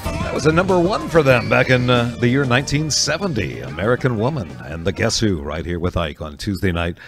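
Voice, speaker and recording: A man hosting an oldies radio show, chatting casually and folksily between songs with frequent pauses. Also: mid-range voice, lively intonation. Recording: music playing under the voice.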